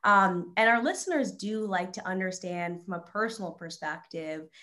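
A woman's voice: fairly low pitch, normal speed, sharp tone. A woman, working as a podcast host with prepared lines and conversational questions. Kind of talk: prepared introduction and conversational interviewing.

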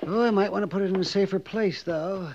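A man's voice: high voice, fairly quick, a little mellow. A man playing a miserly, money-loving American frontier storekeeper in a 1950s radio western, hemming and muttering fussily to himself. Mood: gloating, self-absorbed. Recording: muffled, noisy old 1950s radio recording.

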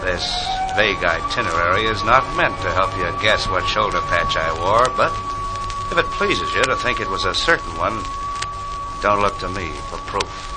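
Man voicing a reflective sergeant over loud music. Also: sits low, normal speed, lightly acted, poor audio. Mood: reflective, stoic. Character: fallen WWII infantry staff sergeant, reflective, weary. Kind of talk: 1940s radio verse narration. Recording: old radio recording, loud music behind.

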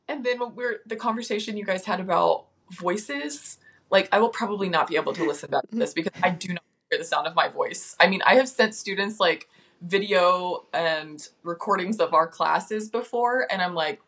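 A woman's voice: lowish voice, moderate pace, a bit bright, slightly rough. Chatty self-deprecating woman guest. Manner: chatty, self-deprecating, many likes and ums. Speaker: podcast guest. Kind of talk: casual podcast chat.

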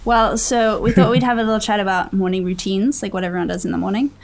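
A woman's voice: mid-range voice, fast, a little hiss or hum. Cheerful young Englishwoman, English accent. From England. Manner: cheerful, laughs often. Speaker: podcast co-host. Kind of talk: casual chat.

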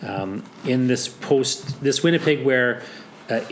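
A man's voice: medium pitch, takes it slowly, slight background noise, poor audio. A man interviewing an author, talking casually with many fillers and small restarts. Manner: hesitant, many fillers and laughs. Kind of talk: conversational interview.

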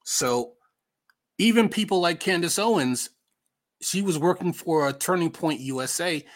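A man's voice: a little high, unhurried. A man with an American accent giving casual, opinionated political commentary as a podcast host, conversational and emphatic, repeating himself for effect.

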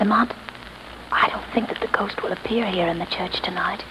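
A woman's voice: medium pitch, fairly quick, close to an everyday voice. An actress playing a sleuth's polite, anxious young female companion in 1930s radio style. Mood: uneasy. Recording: noisy vintage 1930s radio recording.

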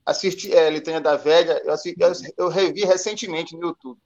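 A man co-hosts a live online talk and asks long, halting questions full of restarts and fillers. His voice sits fairly high, and the audio sounds muffled and lo-fi. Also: fairly even intonation. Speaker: co-host interviewer. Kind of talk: live stream interview questions.

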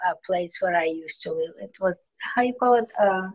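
A woman from Ukraine whose first language is Russian speaks English with a Russian-speaking Ukrainian accent. She is an interviewee recalling her past in a halting way and searching for English words. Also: lowish voice, poor audio. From southeastern Ukraine. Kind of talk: conversational personal recollection.

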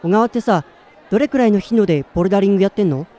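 A man's voice: high-pitched, lively intonation, rapid-fire, dark tone, a faint crowd. An actor playing a listless, self-doubting man in his twenties in a radio drama, plain-spoken in narration and dialogue. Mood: casual, surprised. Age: 20s.

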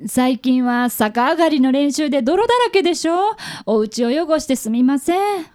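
A woman's voice: sits high, lively intonation, normal speed, a little mellow. A woman acting an earnest, polite younger woman in a radio drama. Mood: apologetic, earnest. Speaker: amateur radio drama actor.

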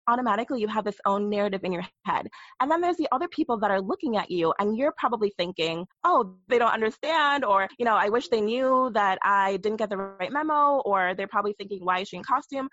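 A woman speaking as a podcast guest, telling her stories with lively, playful asides. Her voice sits in a middle range. The audio is dry and dull, like a lo-fi call recording. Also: mid-range voice, dry recording. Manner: animated storytelling with playful asides. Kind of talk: candid interview conversation.

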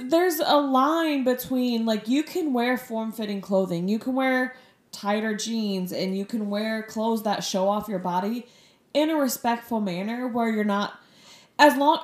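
A woman's voice: a little high, unhurried, roomy sound. A woman in a casual podcast discussion, explaining her view earnestly with many "like"s.

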